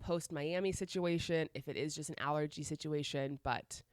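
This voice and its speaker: A woman's voice: low voice, steady tone, normal speed, no room sound, studio quality. A woman with a cold co-hosting a podcast, slightly nasal and congested, chatting casually with lots of restarts. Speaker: podcast co-host. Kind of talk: casual chat.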